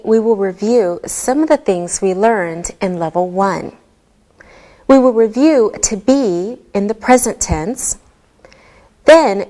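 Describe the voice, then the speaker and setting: A woman leads a beginner English lesson at a very unhurried pace. Her voice sits at a middling pitch. She pronounces each word deliberately and patiently, pausing often so learners can follow. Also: a little mellow. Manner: deliberate, clearly enunciated, patient. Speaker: English teacher. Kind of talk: slow, scripted ESL lesson delivery.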